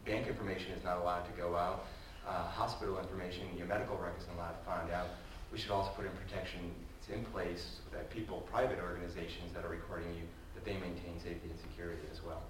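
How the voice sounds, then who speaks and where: A man speaking on a panel, giving earnest, measured remarks. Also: sits low, level tone. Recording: echoey hall, distant mic, room noise.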